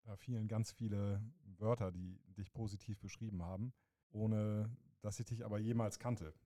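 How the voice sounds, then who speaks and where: A man's voice: on the low side, steady tone, normal speed, dark tone, dry recording, clean studio sound. A man interviewing a young writer, chatty and framing his questions at length. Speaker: interviewer, teacher.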